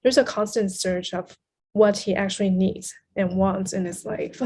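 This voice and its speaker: A woman with a soft-edged, mellow tone talks as a guest on a book podcast. Her pitch sits in the middle, and she reflects thoughtfully, feeling her way toward the right words. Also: steady tone, measured pace, breathy edge.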